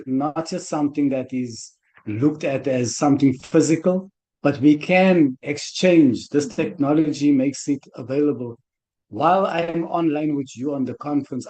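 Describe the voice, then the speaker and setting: A man with a South African accent talks earnestly and casually at an online community conference. His voice is mellow and darkish, at a mid pitch. He is heard through a computer mic on a video call. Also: rough recording. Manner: earnest, loose conversational speaking. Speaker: conference organizer.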